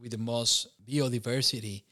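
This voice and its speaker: A young man from Costa Rica speaks English with a Costa Rican Spanish accent. He talks at a middle pitch in a conversational interview, and he laughs often and restarts his phrases as he tells his own story. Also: steady tone, moderate pace, bright, forceful tone. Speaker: podcast guest.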